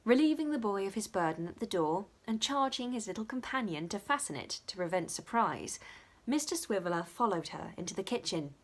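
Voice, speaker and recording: A woman's voice: medium pitch, very animated, brisk. A woman reading a story as dramatic scripted narration. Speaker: audiobook reader.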